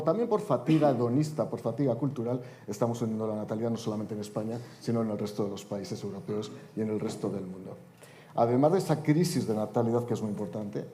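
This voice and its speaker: A man in his fifties holds forth at a conference panel, mixing speech-like delivery with dry jokes. His voice is mellow and relaxed, heard through a table mic with a faint background hum. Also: medium pitch. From Spain. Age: 50s. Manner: wry, joking asides in an oratorical delivery. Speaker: panel speaker, politician. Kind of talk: panel debate talk.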